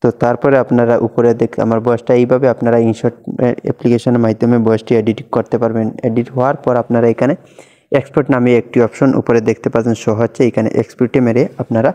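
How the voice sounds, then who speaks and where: A man's voice: medium pitch, fast. A young man walking viewers through an app tutorial step by step, repeating each action as he goes. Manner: steady step-by-step instructions, much repetition. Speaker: tutorial host. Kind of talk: screen-recorded app tutorial.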